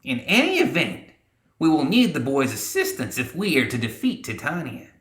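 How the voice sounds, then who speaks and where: A man voicing a stern, scheming old witch woman in a fantasy audiobook, with a portentous, drawn-out delivery. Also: a little high, huge pitch swings, fairly bright tone, echoey room, pitched above the performer's own voice. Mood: grave, calculating.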